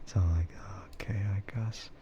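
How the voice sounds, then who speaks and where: A man streaming soft, breathy ASMR chat, hesitant and unhurried, often dropping into a whisper. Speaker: streamer, VTuber. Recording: muffled, lo-fi, clearly noisy.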